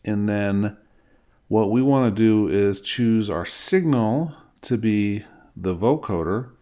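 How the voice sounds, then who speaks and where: A middle-aged man with a fairly low voice teaches in an informal tutorial style. He explains each step plainly, as an instructor recording at a desk in a small room. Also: slow pace, warm tone. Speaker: music software instructor.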